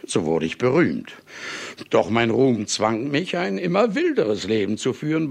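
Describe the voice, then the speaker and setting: An actor playing a boastful, cynical ageing famous writer who claims to be a murderer, declaiming in a radio play. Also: male voice, medium pitch, gravelly, slightly rough, heavily acted. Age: older. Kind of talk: theatrical German radio play monologue.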